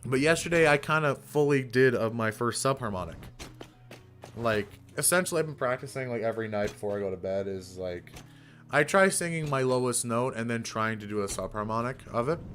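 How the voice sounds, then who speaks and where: A young man streamer chatting casually, rambling with fillers and casual swearing, with a fairly deep voice. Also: animated, fairly quick, fairly bright tone. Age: young adult. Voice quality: somewhat deep voice. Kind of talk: casual live-stream chat.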